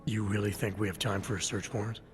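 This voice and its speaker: A man playing a stoic, guarded agent in a video game, terse and deadpan. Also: on the low side, fast talker, slight background noise, close to an everyday voice. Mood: terse, stubborn. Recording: loud music behind the voice.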